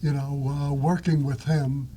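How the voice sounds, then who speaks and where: An older man with a New York accent who speaks haltingly, with many fillers. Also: mid-range voice, little pitch movement, mellow, a little hiss or hum. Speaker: guest, lender. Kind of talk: interview answers.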